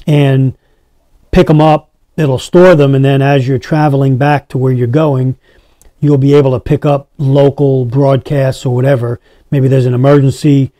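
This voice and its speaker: A man's voice: mid-range voice, dark tone, no room sound. A man giving a casual hands-on product demonstration as a reviewer, talking to his viewers. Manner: addresses viewers as guys.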